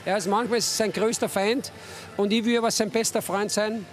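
A man giving a pitchside interview as a football coach, colloquial and joking, into a reporter's handheld mic outdoors. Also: steady tone, normal speed. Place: outdoors at a stadium. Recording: outdoor pitchside noise, crowd.

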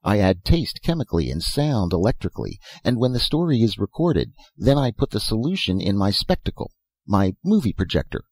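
A man's voice: lowish voice, sing-song, fairly quick, a little mellow, husky, no room sound, exaggerated voice. A man voicing an eccentric, philosophizing old professor who talks in short, insistent phrases and rhetorical questions in a storybook reading. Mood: eager. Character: elfin bearded old male professor, eccentric, philosophical inventor.

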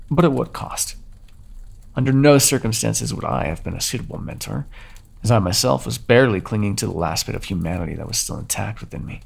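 A brooding male vampire, acted out by a man, speaks in a deep, husky voice with a gravelly creak. The delivery is theatrical yet intimate, in the style of ASMR roleplay. Each phrase is measured, remorseful and quietly menacing. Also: full character voice. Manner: slow, deliberate, theatrical, intimate. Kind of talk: ASMR roleplay monologue, scripted dramatic narration.

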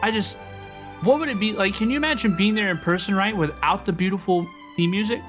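A woman's voice: medium pitch, a little fast. Playful woman streaming, music behind. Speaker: game streamer. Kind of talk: casual live reaction commentary.

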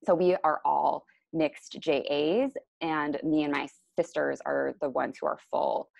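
A young woman from the Chicago area presenting over slides on a video call, relaxed and full of ums and self-corrections. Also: low voice, unhurried, gravelly, dry recording. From Chicago area, USA. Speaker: panelist. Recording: dull lo-fi video call audio.

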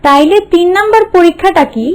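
A woman's voice: very high voice, brisk. A woman voicing a humble, puzzled young servant girl in a cartoon, with a colloquial Bangladeshi accent and plain questioning speech. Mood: puzzled, curious. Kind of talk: scripted cartoon dialogue. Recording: music bed under the voice.

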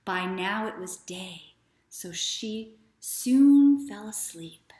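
A woman in her thirties reading a children's story aloud in a deliberate, expressive way. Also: medium pitch. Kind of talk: children's read-aloud narration. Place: small living room, indoors. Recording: echoey living room.

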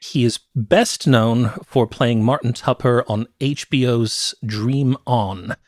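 Middle-aged man, podcast co-host, mid-pitched voice, close dry mic, conversational movie talk. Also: slow pace, darkish tone, dry recording. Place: small cluttered home room, indoors. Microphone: close podcast microphone with headset.

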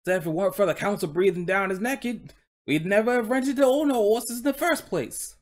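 Man putting on a high-pitched proud Cockney talking horse. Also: fairly quick, lightly acted. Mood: wistful, chatty. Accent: put-on Cockney. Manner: colloquial, deferential, boastful working-class patter. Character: proud, chatty, aggrieved male talking coach horse from London.

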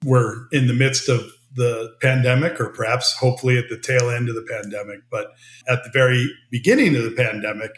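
A middle-aged American man, a company CEO, explaining his business in a measured way as a podcast guest. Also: medium pitch, steady tone, fairly bright tone. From United States. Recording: roomy remote audio.

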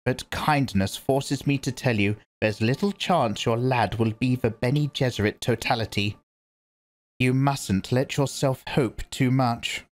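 A man reading aloud and voicing a stern, commanding old woman, a religious elder, in a sharp and lecturing manner. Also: mid-range voice, a little slow, voice pushed up, close to an everyday voice.

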